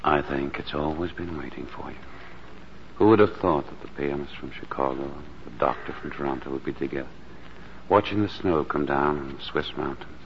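An actor playing a devoted, reassuring male doctor in love, tender and gently teasing in 1940s radio drama style. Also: a bass voice, brisk, gravelly, a little hiss or hum. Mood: fond, reassuring. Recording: muffled 1940s radio recording, music behind.

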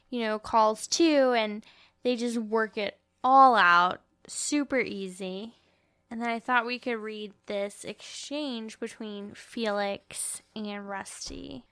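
A woman's voice: on the high side, takes it slowly, a bit bright, clean tone, dry recording. A woman co-hosting a podcast, casually and playfully retelling a book and dramatizing as she goes. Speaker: podcast co-host recapping a novel. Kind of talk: casual book-recap chat with read-aloud dialogue.

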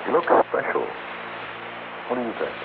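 An actor playing a cool, cynical newspaper reporter in a 1960s radio drama, with a clipped, dry delivery. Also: male voice, medium pitch, wildly swooping pitch, normal speed, gravelly. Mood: probing, dry. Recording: noisy, tinny 1960s radio recording.

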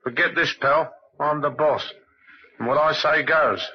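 An actor playing a cocksure, coolly threatening gang boss, commanding and clipped, in an old-time radio crime drama. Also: male voice, fairly high pitch, measured pace, husky. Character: menacing, cocksure razor-carrying male gang boss. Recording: dull, noisy vintage radio recording.

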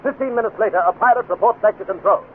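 An actor playing a dramatic, urgent announcer narrating a 1950s radio adventure serial. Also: male voice, high-pitched, very fast, phone-quality sound, close to an everyday voice. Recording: thin, faintly noisy old radio recording.

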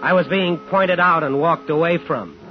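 Actor as bitter, determined male homecomer; terse, pressing, hard-boiled radio-thriller delivery, slightly high pitch, muffled sound. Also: normal speed, over music, close to an everyday voice. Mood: brooding. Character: determined, probing man in uniform, bitter homecomer. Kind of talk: 1950s radio thriller acting and first-person narration. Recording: dull 1950s radio recording.

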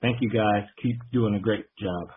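A tinny phone recording of a man calling in to a show. His voice is lowish and husky, and he asks his question in a casual, chatty voicemail style. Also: moderate pace. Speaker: listener leaving a voicemail.

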